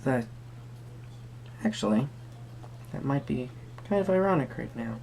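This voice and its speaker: A performer playing a quirky, friendly tarot reader at a Renaissance fair, speaking to the viewer in halting, theatrical phrases. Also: mid-range voice, lively intonation, a little mellow, slight background noise. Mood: whimsical, musing. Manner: hesitant, theatrical, halting phrasing with sudden emphasis. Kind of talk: improvised first-person roleplay addressed to the viewer.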